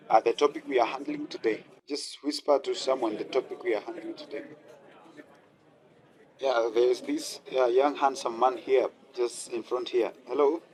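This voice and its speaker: A man's voice: mid-range voice, moderate pace, darkish tone, close to an everyday voice, rough recording. A man playing a friendly doctor and counselor in a radio drama, engaging listeners as an interactive guest speaker. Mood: encouraging, interactive. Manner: engaging the audience with questions and thanks. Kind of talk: radio drama motivational talk.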